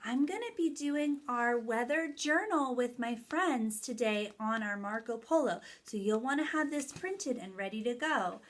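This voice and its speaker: A woman with a fairly high voice speaks as an early childhood teacher. She talks directly to small children in simple phrases, walking through activities step by step and stressing the key words. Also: unhurried, few pauses. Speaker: early childhood teacher hosting story time. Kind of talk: children's lesson, read-aloud and activity instructions.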